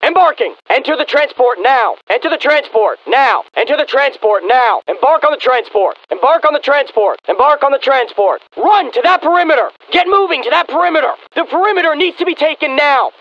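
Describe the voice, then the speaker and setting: Casting: urgent American infantryman for a war game, commanding, high-pitched, muffled radio sound. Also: animated, brisk, few pauses, no echo. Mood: brisk, urgent. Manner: clipped, commanding. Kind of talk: military video game unit barks.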